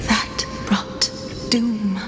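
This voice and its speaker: A woman voicing a solemn female spirit in a video game, measured and mournful. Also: medium pitch, fairly even intonation. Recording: echoing voice over music.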